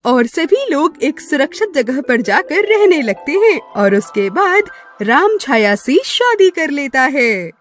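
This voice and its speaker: A woman narrating a scripted children's moral story in an expressive, sympathetic way. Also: high-pitched, slow pace, no room sound. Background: music.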